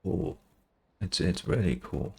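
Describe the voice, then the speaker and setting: A man's voice: low voice, slow, vocal fry, warm tone, breathy edge. A man giving casual, rambling, informal game reaction commentary with some swearing. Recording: game music faintly behind the voice. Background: game music and dialogue.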